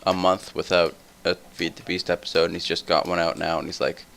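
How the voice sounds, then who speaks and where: A tired young man with a somewhat deep voice, rambling through casual gameplay commentary full of tangents. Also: little pitch movement, brisk, creaky, a bit bright, slight background noise. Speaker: let's play host.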